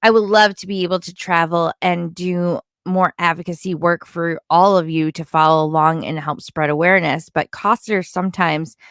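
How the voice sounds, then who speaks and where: A woman's voice: on the low side, fairly even intonation, normal speed, hardly any pauses, fairly bright tone, clean tone, dry recording, studio quality. A woman delivering opinionated, partly scripted recap commentary in a critical, disapproving manner, like an online content creator.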